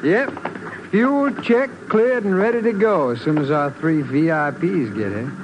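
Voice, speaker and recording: An actor playing a breezy, wisecracking airline pilot in a 1950s radio drama, talking in a jaunty, slangy way. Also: male voice, on the high side, measured pace, dull audio, close to an everyday voice. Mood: brisk, friendly. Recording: noisy old radio recording.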